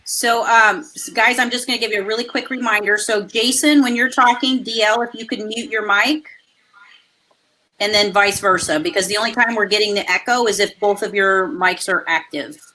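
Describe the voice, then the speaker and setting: A woman hosting a live webinar over a video call, coaching viewers in an energetic, chatty way with a loud voice that carries. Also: mid-range voice, moderate pace, slight background noise, poor audio. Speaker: host.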